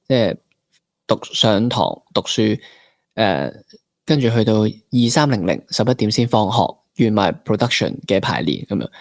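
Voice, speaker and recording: A man's voice: lowish voice, gravelly, darkish tone, dry recording, clean studio sound. A young man with a Hong Kong Cantonese accent, interviewing a guest in a conversational style as a podcast host.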